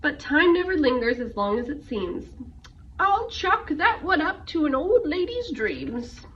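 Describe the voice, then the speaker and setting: A woman's voice: high voice, sing-song, a little slow, fairly bright tone, voice pushed up, heavily acted. A woman voicing an elderly, big-hearted great-great-aunt in a children's storybook, with an exuberant, emphatic delivery. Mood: wistful. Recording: muffled, noisy, distant microphone.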